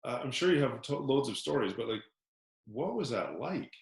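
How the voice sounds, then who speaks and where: Male interviewer, casual prompting manner, mid pitch, roomy sound. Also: very animated, normal speed. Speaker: interviewer, church ministry host.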